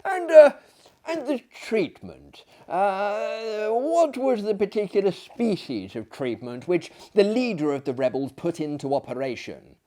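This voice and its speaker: A man voicing a naive, proper young gentleman in a dramatic story, stammering and incredulous. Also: sits high, big pitch swings, measured pace, voice pushed up. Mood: tentative curiosity.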